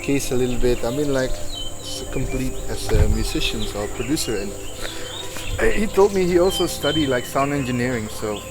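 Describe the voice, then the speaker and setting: A man from Indonesia, a musician, talking casually in an interview and often pausing to search for words. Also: medium pitch, expressive. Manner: searching for words, many likes and uhs.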